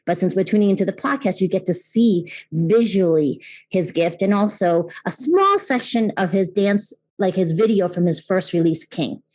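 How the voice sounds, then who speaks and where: A woman's voice: medium pitch, expressive, normal speed, a little mellow. A woman in her fifties, originally from Taiwan, hosting a podcast and telling her own story candidly, with fillers and restarts. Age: 50s. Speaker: podcast host. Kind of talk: personal storytelling monologue.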